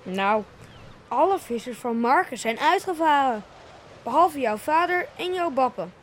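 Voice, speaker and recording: A woman's voice: high-pitched, lively intonation, a little slow, a little hiss or hum. A woman playing an eager, inquisitive young village boy in a Dutch radio play. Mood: urgent, questioning.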